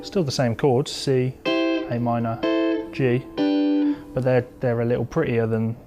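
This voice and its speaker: A man's voice: medium pitch, fairly even intonation, a little slow. A man giving an informal guitar lesson, explaining step by step as he demonstrates. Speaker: guitar teacher. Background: electric or acoustic guitar being played.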